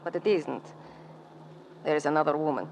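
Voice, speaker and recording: An actress playing an elegant, wistful ballerina with formal, melodramatic speech in a 1940s radio drama. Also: female voice, sits low, normal speed, darkish tone. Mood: weary, resigned. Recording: noisy old radio recording.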